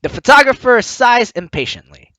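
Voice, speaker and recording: An animated let's player hosts a game playthrough in a mid-pitched voice that swings freely. The delivery is jokey and teasing, moving between reading narration and commenting. The sound is dry, with clear music underneath. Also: normal speed, no echo, rough recording. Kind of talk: let's play commentary and read-aloud narration.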